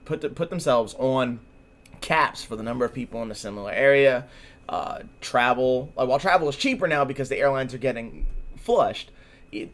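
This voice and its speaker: A man co-hosting a podcast, explaining things in an earnest, emphatic way. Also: mid-range voice. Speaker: podcast host. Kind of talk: casual podcast conversation.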